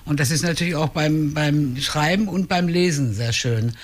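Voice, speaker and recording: A woman in her sixties from Hannover, speaking in a casual radio interview and pausing often with fillers and restarts. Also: deep voice. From Hannover, Germany. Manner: hesitant, many fillers and restarts. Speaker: crime novelist guest.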